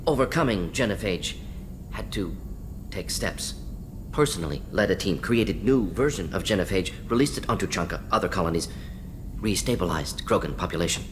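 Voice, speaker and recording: An actor playing a brisk alien scientist in a video game, speaking in clipped, telegraphic phrases, earnest and self-justifying. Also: male voice, lively intonation. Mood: uneasy, confessing. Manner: clipped telegraphic phrases, dropping small words. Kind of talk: video game character dialogue. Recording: clear low rumbling noise.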